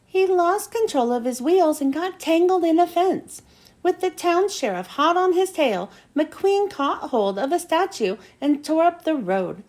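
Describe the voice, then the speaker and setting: A woman reading a children's storybook aloud as an expressive narrator. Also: extremely high pitch, unhurried. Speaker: storybook reader.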